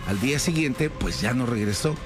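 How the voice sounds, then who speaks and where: A man's voice: mid-range voice, expressive, moderate pace, over music. Man narrating true crime casually. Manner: conversational, frequent "pues" fillers and tag questions.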